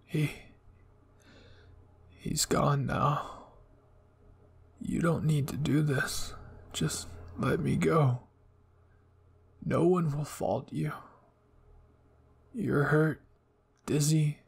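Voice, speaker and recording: A man voicing a wounded, remorseful young villain in an anime roleplay, speaking softly in a halting, weary way. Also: fairly high pitch, fairly even intonation, vocal fry, slightly rough, exaggerated voice. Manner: halting, weak, pleading. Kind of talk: anime ASMR roleplay acting.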